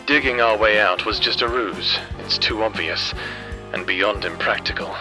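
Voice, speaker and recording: A man playing a weary, calculating prison escapee, narrating his thoughts gravely as an audio-drama inner monologue, with music underneath. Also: lowish voice, moderate pace, natural voice. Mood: tense, explanatory.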